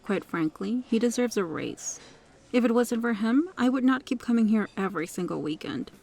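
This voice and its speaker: A woman's voice: a little high, expressive, moderate pace, creaky, a little mellow. A woman playing a kind, protective restaurant regular in an ASMR roleplay, speaking in a polite, articulate, reassuring way. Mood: firm, earnest. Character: kind, well-spoken female restaurant regular, firmly protective. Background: music.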